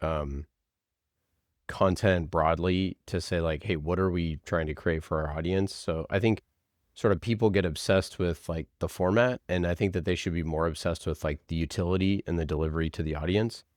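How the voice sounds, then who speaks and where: Very low-voiced man in a casual interview. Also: steady tone, no echo, clean studio sound. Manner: halting, conversational, frequent "like" and "you know". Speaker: podcast producer, interview guest.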